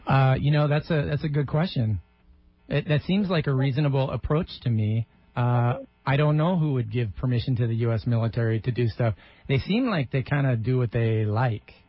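A man's voice: mid-range voice, normal speed, dry recording. A man hosting a radio call-in show in a mid-range voice. He slips in wry, joking asides between questions. The sound is slightly dull, as if heard over the air. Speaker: radio talk show host.

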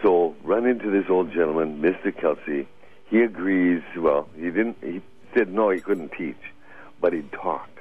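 Older man, very low voice, breathy and husky, rambling anecdotes, thin phone-line audio. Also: normal speed. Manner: rambling, anecdotal, laughs often. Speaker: guest. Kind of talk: radio interview storytelling.

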